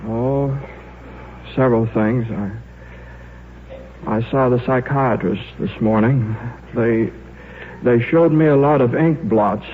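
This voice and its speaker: An actor playing a shy, stubborn middle-aged scientist and father, speaking haltingly in a 1950s radio drama. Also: medium pitch, lightly acted. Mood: weary, defeated. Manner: halting, hesitant, repeating himself. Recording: tinny, noisy old radio recording.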